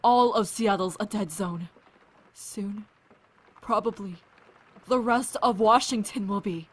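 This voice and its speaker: A slow-speaking woman playing a weary survivor scientist in an amateur audio drama. Her voice sits at a middling pitch. She is thoughtful and halting, compassionate with a wry edge. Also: husky. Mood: troubled.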